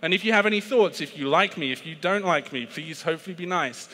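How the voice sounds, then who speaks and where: A British man delivers a conference keynote in a bright, forceful voice pitched a bit high. He is chatty and self-deprecating, stumbling into fillers and restarts. A long reverb trails his words around the large hall. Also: expressive, quick pace. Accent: British English. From Britain. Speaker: keynote speaker on sales.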